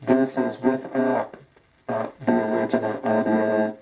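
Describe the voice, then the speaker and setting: A man's voice: mid-range voice, little pitch movement, unhurried, gravelly, loud music behind the voice, pitched below the performer's own voice. A man speaking through a homemade vocoder that modulates the voice into a droning robot. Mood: flat demonstration. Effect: vocoder robot modulation with triangle wave.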